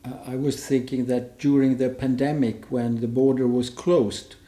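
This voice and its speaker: A man's voice: mid-range voice, flat delivery, normal speed, warm tone. A man speaking on a conference panel, hesitant and searching for words, restarting often. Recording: roomy conference room.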